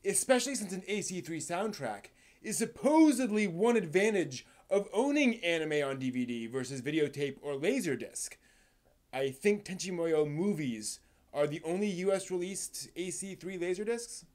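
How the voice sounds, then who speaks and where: Higher-voiced man hosting a casual stream. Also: animated, normal speed, a little mellow. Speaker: live stream host. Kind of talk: casual live stream talk about anime with theatrical read-aloud segments.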